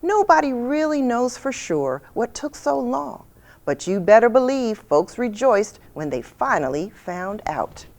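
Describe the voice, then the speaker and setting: A woman's voice: fairly high pitch, big pitch swings, measured pace, a little mellow, voice pushed up. A woman voicing a kindly, folksy father who explains things in a children's storybook. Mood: explanatory.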